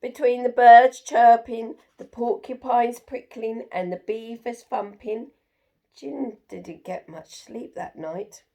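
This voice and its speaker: A woman's voice: on the high side, fairly even intonation, takes it slowly, vocal fry, dark tone. A woman storyteller reading a children's picture book aloud with expression.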